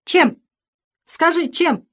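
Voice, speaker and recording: A woman's voice: medium pitch, lively intonation, moderate pace, natural voice. Imperious aging Russian mistress of the house, wounded and indignant, commanding outbursts, for audiobook drama, played by a woman.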